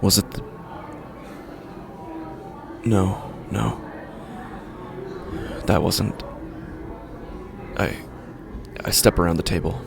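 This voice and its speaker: Man, deep gravelly voice, slow, halting and uneasy horror narration, music underneath. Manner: halting, uneasy, breaks off mid-sentence. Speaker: podcast narrator. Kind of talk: first-person horror story narration. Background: music score.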